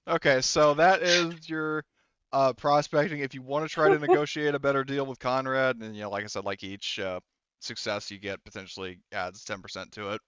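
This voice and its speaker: A man acting as game master in casual tabletop play, talking in a halting way as he thinks aloud. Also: mid-range voice, little pitch movement, fairly bright tone, smooth, no echo, dull audio.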